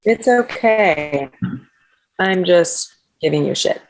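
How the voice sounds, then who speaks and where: A woman's voice: lowish voice, expressive, slow pace, rough recording. A woman reading the part of a feisty, teasing young elite mountain climber in a script table read.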